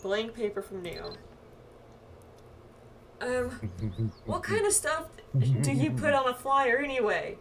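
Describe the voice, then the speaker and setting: A woman playing a game with friends, reading its dialogue aloud casually and haltingly, with reactions of her own. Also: a little high, big pitch swings, a little hiss or hum. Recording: game music behind the voice. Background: video game music.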